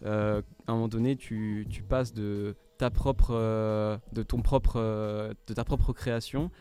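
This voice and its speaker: A man's voice: on the low side, steady tone, unhurried, mellow, soft background music. A young man from French Guiana, a musician guest on a radio interview, talking hesitantly with many fillers and restarts.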